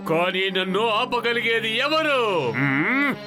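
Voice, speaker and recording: A man's voice: high voice, very animated, a little slow. A man voicing a boastful, bossy crook gang boss in an exaggerated, theatrical cartoon dub. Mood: gloating, bossy. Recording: loud music behind the voice.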